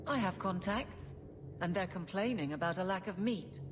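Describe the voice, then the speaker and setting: A woman's voice: fairly low pitch, moderate pace, noisy background, close to an everyday voice. An actress playing a stern, practical city guardswoman in a fantasy game, blunt and businesslike. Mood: brisk, firm. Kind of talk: fantasy video game acting. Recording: long reverb, loud music behind.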